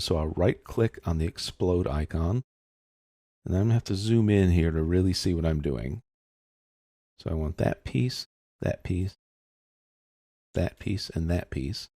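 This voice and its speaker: A man narrating a software tutorial in a casual, step-by-step explaining manner. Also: sits low, dry recording, studio quality. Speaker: software instructor.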